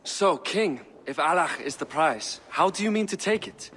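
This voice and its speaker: A man's voice: fairly high pitch, animated, moderate pace, fairly bright tone, a little hiss or hum. An actor playing a shrewd male advisor who questions the king in a probing, wry way.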